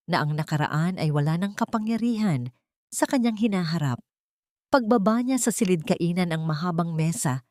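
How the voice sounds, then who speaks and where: A woman tells a dramatic story from a script. Her voice sits low and has a dark, mellow tone. The sound is dry, with no room around it. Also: no echo.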